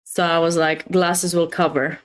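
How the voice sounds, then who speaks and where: A woman's voice: fairly low pitch, little pitch movement, measured pace. A woman with a Romanian accent hosting a chess livestream, chatting casually and expressively with viewers between bits of commentary.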